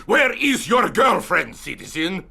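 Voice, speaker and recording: Threatening soldier officer from a game, pitched high n swooping, bossy, slight noise behind. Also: male voice, wildly swooping pitch, creaky, exaggerated voice. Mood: menacing. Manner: commanding, threatening, deliberate. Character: menacing Soviet soldier officer interrogating a civilian. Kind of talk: video game cutscene acting.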